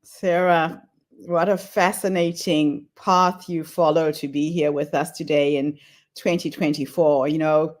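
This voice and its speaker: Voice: medium pitch, little pitch movement, measured pace. Host voice, appreciative follow-up, a bit distant from the mic. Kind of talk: talk show hosting.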